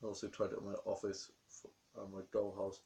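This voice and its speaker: A young man with a croaky voice from a cold, rambling through a diary vlog about his day. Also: fairly low pitch, monotone, brisk, dark tone, a little hiss or hum. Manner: rambling, run-on storytelling. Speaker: vlogger.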